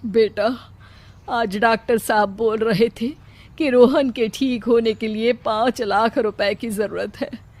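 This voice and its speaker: An older village widow voiced by a woman from a cartoon story, anxious and motherly. She talks slowly in a fairly high voice. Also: fairly even intonation, darkish tone. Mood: resigned, worried. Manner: worried, maternal.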